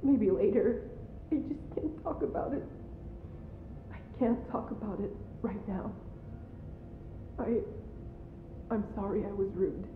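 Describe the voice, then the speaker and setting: A woman's voice: animated, takes it slowly, long pauses. An actress playing an upset, guarded young woman in a game, curt and wounded, heard through a muffling filter. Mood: sad, softening. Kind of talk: naturalistic game voice acting. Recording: thin phone-like band, echoey, noisy rumble.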